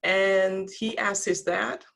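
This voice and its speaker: A woman's voice: medium pitch, little pitch movement, slow pace, vocal fry, a bit bright. A woman in her thirties whose first language is Dutch, speaking earnestly about her experiences as a guest on a video call interview, often restarting her sentences. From Netherlands.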